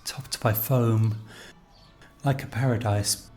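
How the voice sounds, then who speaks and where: A man narrating a scripted literary story in a measured, reflective manner. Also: on the low side, steady tone, darkish tone, faint music behind. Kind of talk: scripted literary narration of location-based stories.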